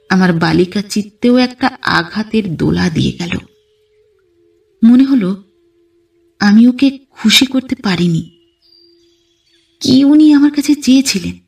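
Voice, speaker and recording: A woman narrates a literary tale at a slow, unhurried pace. Her delivery is measured and expressive, in the manner of an audio storybook. She sounds a little away from the microphone. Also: fairly high pitch, gravelly, far from the mic. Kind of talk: scripted literary narration in first person.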